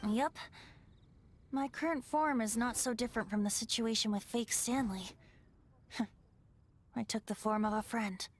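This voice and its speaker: A voice actor playing a youthful, playful wind spirit bard who tells old stories and recites rhymes. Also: male voice, very high voice, measured pace, pauses often. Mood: nostalgic. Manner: light, storytelling, rhyming recital.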